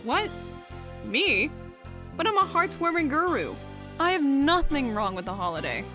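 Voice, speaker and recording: A woman plays a young female pegasus with a high, animated voice. She sounds skeptical and snarky, a cartoon-pony heroine in a story reading. Music sits clearly behind her. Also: measured pace. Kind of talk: audio fan fiction character acting.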